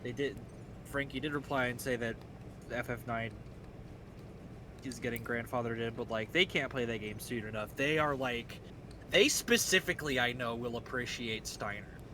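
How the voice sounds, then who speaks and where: A man's voice: mid-range voice, normal speed, noisy background. A man from the US Midwest with a faint Midwestern American accent, chatting casually on a game stream in a rambling, self-interrupting way.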